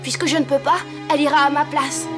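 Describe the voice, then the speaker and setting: A woman's voice: sits high, flat delivery, fast, music in the background, lightly acted. A woman voicing a young boy who speaks affectionately and earnestly to his father in a cartoon. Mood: tender. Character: young boy Diego, loving son. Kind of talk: French cartoon voice acting.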